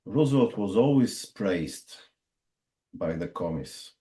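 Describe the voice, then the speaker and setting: A man from Bulgaria with a Bulgarian accent, interviewing a guest in a measured, deliberate way. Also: low voice, lively intonation, warm tone. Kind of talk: interview discussion.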